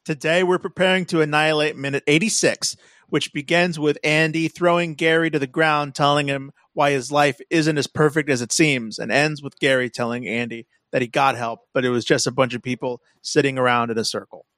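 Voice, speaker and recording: A man with a somewhat high-pitched voice co-hosts a film podcast. His phrasing is measured and articulate, yet conversational. The recording is very dry, with no room sound. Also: fairly even intonation, a bit bright, no room sound. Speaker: podcast co-host. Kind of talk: casual film analysis.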